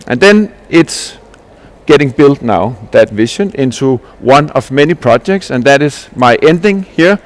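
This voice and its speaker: A man's voice: mid-range voice, lively intonation, measured pace. A man from Denmark, giving an earnest conference presentation as a landscape architect, explaining ideas with restarts and rhetorical questions.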